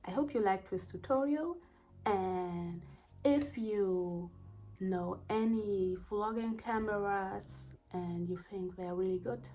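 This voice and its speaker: Slow-talking woman with a German accent. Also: mid-range voice, mellow. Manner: unhurried, rambling, with many pauses and fillers. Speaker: hair vlogger. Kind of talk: casual vlog chat. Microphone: voiceover microphone.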